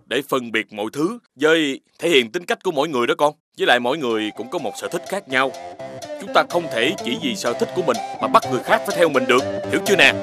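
A performer voicing a patient animal parent gently explaining lessons in a children's cartoon. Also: medium pitch, lively intonation, fast talker, close to an everyday voice. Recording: loud music under the voice.

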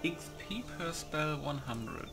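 A man talks through a game's settings in a casual, muttering way, reading options aloud bit by bit. His delivery is somewhat slow, and music plays clearly behind him. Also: a little high, lively intonation. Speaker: gamer recording a playthrough. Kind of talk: casual gameplay commentary.

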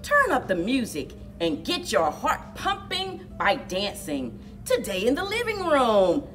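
A middle-aged woman speaking to young children as a story time host, with exaggerated, drawn-out storyteller delivery. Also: a little high, huge pitch swings, distant mic, music in the background. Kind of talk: expressive picture-book read-aloud and leading action activity for children. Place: indoors, children's library room.

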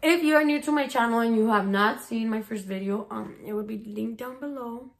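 A woman's voice: on the high side, brisk. A woman of twenty chatting casually in a bedroom Q&A vlog, slangy and mixing in Spanish words, recorded on a phone. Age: 20s. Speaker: vlogger. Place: small bedroom. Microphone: phone camera at arm's length.

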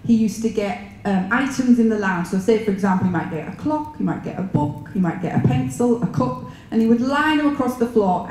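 A woman's voice: mid-range voice, warm tone. Chatty northeast English woman in echoey hall. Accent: northeast English with an Italian mix. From northeast England. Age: middle-aged. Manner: chatty, storytelling, many um fillers. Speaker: speaker. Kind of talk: personal talk to an audience. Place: conference room with a seated audience. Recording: roomy, echoey hall with faint noise.

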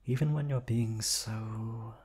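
A man's voice: fairly low pitch, steady tone, slow, darkish tone, husky. A man as an obsessive yandere receptionist. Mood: whispery. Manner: silky polite, turns menacing and whispery. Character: obsessive male yandere hotel receptionist kidnapper. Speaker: voice actor. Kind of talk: ASMR roleplay audio drama.

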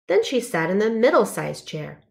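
A woman's voice: medium pitch, sing-song, normal speed, creaky. A woman narrating a children's storybook, reading aloud carefully and expressively.

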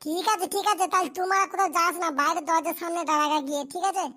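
A performer voicing a hapless, foul-mouthed young cartoon groom in coarse slang, squeaky and childlike. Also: steady tone, racing, sharp tone. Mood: nervous. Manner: coarse, grumbling, rural slang. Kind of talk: amateur comedy cartoon voice acting.

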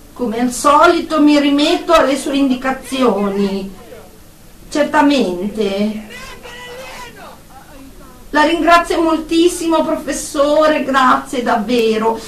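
In an echoey room and away from the mic, an actress plays an anxious woman psychotherapist in a radio drama. Her voice is high and slow, with a halting, apologetic delivery. She sounds deferential, as if reporting to a superior by phone. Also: fairly bright tone, distant mic. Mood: resigned, deferential. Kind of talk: radio drama monologue, one side of a phone call.

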